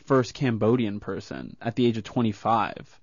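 A man's voice: fairly low pitch, normal speed, vocal fry, no echo. A young man from rural Florida, a comedian guest, talking earnestly about his past in a radio studio. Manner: earnest, reflective, with fillers. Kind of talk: casual interview chat. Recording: dull lo-fi studio sound.

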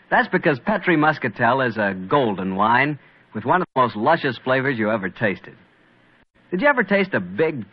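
A male radio announcer giving a chummy commercial pitch between scenes. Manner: chummy, smooth salesman patter. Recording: muffled old radio broadcast.

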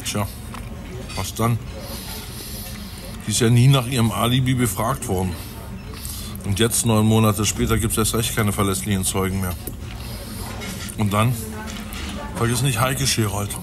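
A man plays a methodical police detective in a radio drama. His voice is fairly low, his delivery wry and reflective. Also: fairly even intonation, unhurried, long pauses, natural voice. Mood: dry, pondering. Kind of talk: naturalistic German radio play acting.

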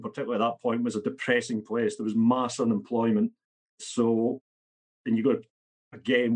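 A man's voice: medium pitch, husky. A Scottish man in his fifties, a podcast guest talking bluntly and matter-of-factly. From Scotland. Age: 50s. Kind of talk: podcast interview.

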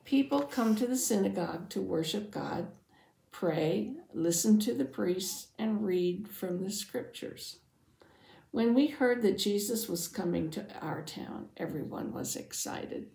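Woman as devout father, slow and gravelly. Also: medium pitch, expressive, mellow. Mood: earnest, warm welcome. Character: devout anxious father, synagogue leader, telling his testimony. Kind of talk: first-person Bible storytelling for families. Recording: roomy, echoing sound.

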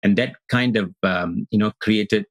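Middle aged guy from singapore, husky voice, dry sound, halting guest on a call. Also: on the low side, dry recording. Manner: thoughtful, halting with many restarts. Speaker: guest, Advaita Vedanta teacher. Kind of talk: casual interview talk. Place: indoor room on video call.

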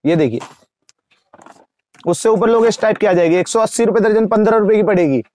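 A young man in a crowded cosmetics shop, rattling off wholesale prices per dozen and per piece in a rapid sales patter. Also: high voice, a little mellow, no room sound. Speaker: wholesale shopkeeper. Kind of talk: sales pitch to camera.

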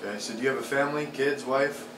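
Male interviewer, brisk and upbeat, mid-range voice, recorded off-mic in a reverberant room. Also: medium pitch, fairly even intonation. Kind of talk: casual interview questions. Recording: echoey room with background hum.

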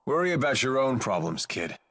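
A man's voice: medium pitch, big pitch swings, brisk, fairly bright tone, no echo. An actor playing a cynical, worldly, guarded man in a video game, with a dry, sardonic manner. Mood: teasing, world-weary. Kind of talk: English game voice acting.

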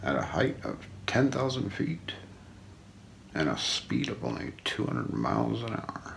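A middle-aged man narrates a mystery in an ASMR documentary style. His voice is very low and creaky, with intonation that swings widely from phrase to phrase. He keeps a measured, solemn storytelling delivery. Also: wildly swooping pitch, a little hiss or hum. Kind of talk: ASMR scripted narration, true-crime documentary role play. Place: small indoor room.

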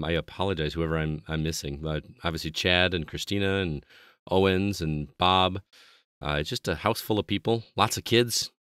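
A man hosting a video game podcast in casual chat, his voice slightly hoarse, upbeat and chatty, often trailing off low at phrase ends. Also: fairly bright tone, no echo, clean studio sound. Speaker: podcast host.

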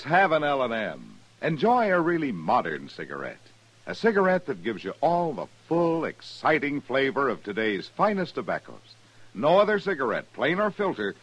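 Lively punchy radio ad announcer man. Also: mid-range voice, husky, no echo. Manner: enthusiastic, punchy sales delivery. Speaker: commercial announcer. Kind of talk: 1950s radio ad. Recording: dull old radio recording, dry.

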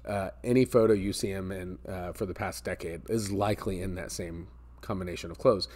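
Male, American, 40s, slightly low voice; conversational coach delivering casual advice with emphatic repetition. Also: fairly even intonation, brisk, darkish tone. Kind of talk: casual advice talk to camera. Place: indoor office space with metal ceiling and glass garage door.

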